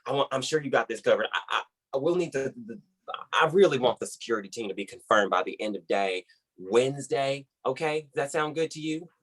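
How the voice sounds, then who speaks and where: A man's voice: medium pitch, animated, a little fast, fairly bright tone. A man acts out a folksy American boss leading a team call in a comedy web series. He rambles in a mid-range voice, effusive and upbeat, heaping praise as he hands out orders.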